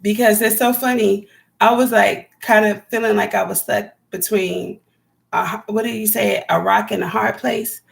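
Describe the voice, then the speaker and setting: A raspy-voiced woman chatting loosely to her viewers on a live stream, addressing them by name as she rambles along. Her voice carries a strong gravelly fry at a middle pitch. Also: steady tone, normal speed. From California. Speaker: homesteading vlogger.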